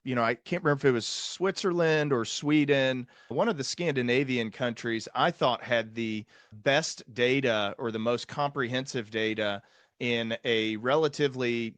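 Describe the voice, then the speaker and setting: A man hosting a physician podcast, speaking in a measured way and thinking aloud with fillers. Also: medium pitch, fairly bright tone, no room sound. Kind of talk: podcast discussion.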